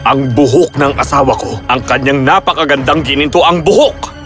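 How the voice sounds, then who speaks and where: A man playing a furious thunder god in a fairy tale, threatening with forceful, theatrical delivery. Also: medium pitch, moderate pace, natural voice. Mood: angry, threatening. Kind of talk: dramatized Filipino fairy tale narration. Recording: loud background music.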